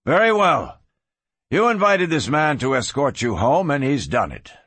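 A man's voice: on the high side, sing-song, measured pace, fairly bright tone, slightly rough, no room sound, exaggerated voice. A man voicing an arrogant, domineering cattle baron in a Western storybook, explosive and sneering. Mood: stern, impatient. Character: arrogant, hot-tempered Western cattle baron, bullying older brother. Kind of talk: dramatised audiobook reading.

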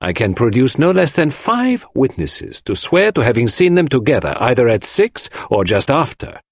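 A man voicing a theatrical, commanding detective, formal and deliberate, in an audiobook. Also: mid-range voice, sing-song, no room sound, clean studio sound, pitched above the performer's own voice. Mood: declaratory. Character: theatrical Belgian male detective, precise, commanding, dramatic. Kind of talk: audiobook character voice.

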